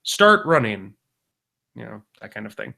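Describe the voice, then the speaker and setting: A man's voice: lowish voice, sing-song, a little slow, creaky, bright, forceful tone. A man co-hosting a podcast in casual, comedic banter. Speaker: podcast co-host.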